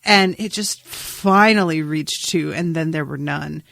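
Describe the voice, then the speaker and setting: A woman's voice: low-pitched, unhurried, vocal fry, a bit bright, no echo, studio quality. A woman chatting casually as a podcast co-host, with a wry, emphatic, sweary way of talking. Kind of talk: casual chat about a TV episode.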